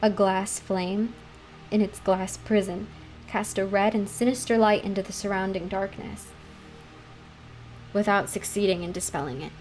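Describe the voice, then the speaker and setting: A woman's voice: medium pitch, steady tone, normal speed, darkish tone, faint music behind, low-quality recording. A woman reading a classic novel aloud as scripted bedtime story narration. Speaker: storybook reader.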